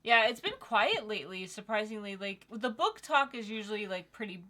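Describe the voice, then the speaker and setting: Young female co-host, bright forceful tone, giggly, casual home-stream chat. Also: mid-range voice, lively intonation, normal speed. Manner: giggly, reads chat messages aloud. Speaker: co-host of a livestream. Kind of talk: casual chat reading live comments.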